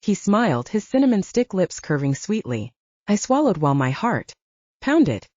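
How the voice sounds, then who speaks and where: A woman reads first-person fiction with a lively, swooping pitch. Her tone is soft-edged and mellow. The recording is very dry and a little dull. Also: normal speed, no echo. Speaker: audiobook narrator.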